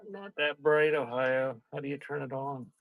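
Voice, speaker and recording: A man with a medium-pitched voice hosts a casual live selling stream. He talks in a loose, scattered way, thinking aloud as he goes. The sound is dry and close, with very little room in it. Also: level tone, normal speed, creaky, no echo, phone-quality sound. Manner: rambling, scattered, thinking out loud. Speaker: live-stream seller hosting a sale and unboxing.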